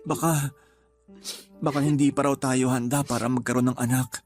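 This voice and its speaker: A man acting a patient, steadfast husband in a radio drama, earnest and gently reassuring. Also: mid-range voice, fairly even intonation, moderate pace, natural voice. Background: music.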